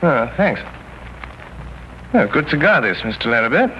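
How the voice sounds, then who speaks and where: A man playing a shrewd, courteous, mocking gentleman detective in 1930s radio drama style, urbane, wry and precise, on a scratchy old broadcast. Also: mid-range voice, sing-song, unhurried, muffled recording. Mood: cool, sardonic.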